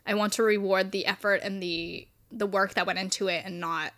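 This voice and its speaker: Young woman, bright forceful voice, podcast co-host, casual chat, playful, outspoken. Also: medium pitch, fairly even intonation, moderate pace. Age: young adult.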